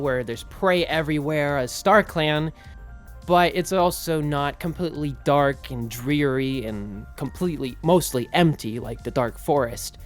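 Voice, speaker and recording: A woman voicing a nervous, excitable, friendly young male cat in an in-character fan Q&A, halting and then bursting with excitement. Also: low voice.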